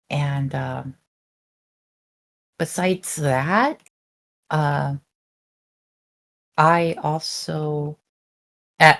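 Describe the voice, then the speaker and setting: A woman's voice: deep voice, creaky, a bit bright. A woman talking casually about her hobbies on an online call, hesitant and full of fillers. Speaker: group chat participant. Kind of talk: casual chat.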